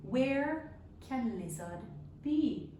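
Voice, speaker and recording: In a very echoey room, a woman plays a peevish storybook mosquito who schemes and taunts. The voice is high and slow, drawing out its buzzing words, a little far from the mic. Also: animated, dark tone, exaggerated voice. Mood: frustrated. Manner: drawn-out buzzing zzz between words. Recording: echoey room, distant mic, faint noise.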